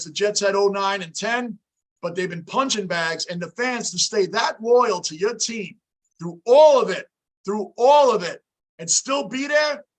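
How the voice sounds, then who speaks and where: A loud, emotional man in his fifties hosts a sports fan livestream, reading the chat aloud. His voice sits high and bright, though it sounds tired and worn out. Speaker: livestream host.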